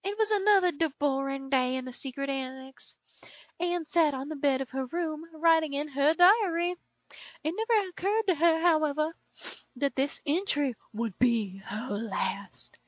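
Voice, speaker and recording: A woman's voice: sits high, lively intonation, measured pace, dry recording, muffled recording. A woman voicing a drawling southern dragon. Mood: dramatic. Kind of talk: reading fan fiction aloud.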